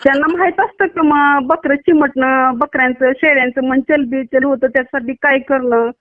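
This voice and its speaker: A woman with a high voice and a Maharashtrian Marathi accent speaks over a narrow, tinny phone line. She talks in a blunt, scolding way, as a doctor on a call. The sound is dry, with no room around it. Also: steady tone, moderate pace, no echo. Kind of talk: casual phone conversation.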